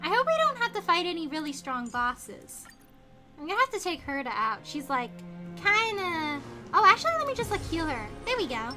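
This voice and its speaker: A woman livestreaming a video game in casual chat, expressive and exclamatory as she thinks aloud. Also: very high-pitched, normal speed, soft background music. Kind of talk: casual gaming stream chat.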